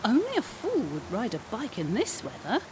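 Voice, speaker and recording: A British actress playing a sensible, dry-witted office worker in a comedy audio drama, teasing in a matter-of-fact way. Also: female voice, a little high, huge pitch swings, moderate pace, darkish tone, slight background noise. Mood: wry.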